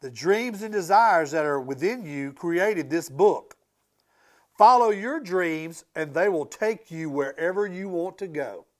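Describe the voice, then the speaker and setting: An encouraging storybook magician, read by a man, talks to children with lively intonation. He is coaxing and emphatic as he addresses them. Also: fairly high pitch, a little slow.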